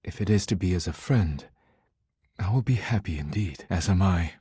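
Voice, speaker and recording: A man voicing an earnest, proud poet in a video game, speaking in formal, solemn, stilted diction with a husky voice. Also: low voice, normal speed, warm tone, no room sound, studio quality. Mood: tender, warm. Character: earnest, proud male poet devoted to dishware, formal and intense. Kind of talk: video game character voice acting.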